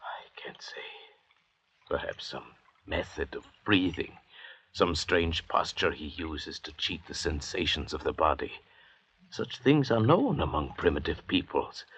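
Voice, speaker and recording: An actor playing an educated, bitter and pompous doctor among escaped convicts in an old-time radio drama, lecturing in a measured way. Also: male voice, rumbling low, a bit bright, breathy edge, heavily acted. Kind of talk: 1940s-50s radio drama acting. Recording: dull, hazy old radio recording.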